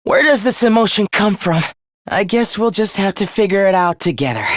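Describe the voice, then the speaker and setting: A man's voice: high-pitched, animated, moderate pace, fairly bright tone, no echo, studio quality. A male actor voicing a sadistic young male villain in a video game, taunting and theatrical with a mockingly polite, obsessive manner. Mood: gloating, obsessive. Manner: taunting, theatrical, mock-cheerful.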